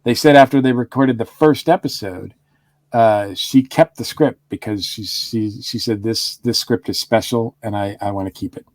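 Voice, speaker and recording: A man's voice: on the low side, moderate pace, darkish tone, no room sound, clean studio sound. A man co-hosting a casual podcast review chat, rambling with frequent restarts and chuckles. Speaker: podcast co-host.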